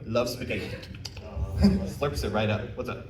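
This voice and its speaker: A man's voice: medium pitch, expressive, a little slow, crowd noise. A man giving an informal technical presentation at a convention panel, talking in a casual, self-deprecating and encouraging way. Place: indoor convention panel room. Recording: roomy convention hall, distant mic, faint noise.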